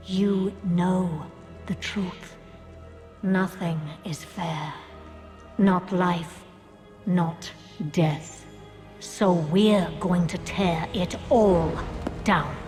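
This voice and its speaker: A cold, commanding game villainess speaks slowly in a lowish register. She is sardonic, bitter and menacing, her words carried on long reverb with music underneath. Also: steady tone, a little mellow. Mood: grim conviction. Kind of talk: dramatic game cinematic acting.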